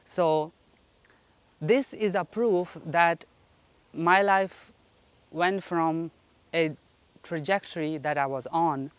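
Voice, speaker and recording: A man from Pakistan giving an earnest, reflective spoken teaching at home, his sentences often trailing off softly. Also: on the high side, slow pace, long pauses, smooth, dry recording. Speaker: spiritual teacher. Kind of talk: solo spoken teaching on manifestation. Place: flat.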